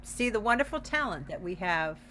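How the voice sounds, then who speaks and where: A woman with a bright, forward voice co-hosts a casual online talk-back. She sounds welcoming and emphatic as she promotes events, with faint noise on the video-call audio. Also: mid-range voice, very animated, normal speed. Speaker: theater company representative, co-host.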